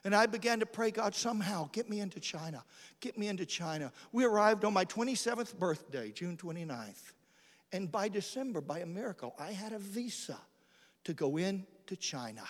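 A male preacher with a notably high voice, heard in a large hall with long reverb. He delivers his sermon as storytelling, with dramatic emphasis and phrases repeated for effect. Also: expressive, normal speed. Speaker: missionary preacher.

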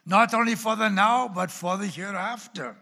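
A man with a Welsh accent tinged with Texan and Oklahoma, teaching a church class in a dramatic sermon style, booming on key words and dropping to hushed asides. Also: moderate pace, gravelly. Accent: Welsh with Texan and Oklahoma traces. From Wales. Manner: dramatic, wide swings from loud emphasis to hushed asides. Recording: long hall reverb.

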